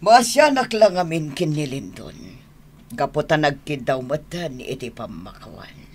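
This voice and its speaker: A middle-aged mother speaks with an Ilocano accent and a very animated pitch. She is earnest and forgiving, in dramatic radio serial style. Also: a little slow, slightly rough, exaggerated voice. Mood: pleading.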